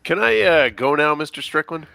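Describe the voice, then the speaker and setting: An anxious, defensive teenage boy rocker, acted by a man at a table read. The voice sits fairly high, with a bright, forceful edge. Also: animated, moderate pace, vocal fry, full character voice.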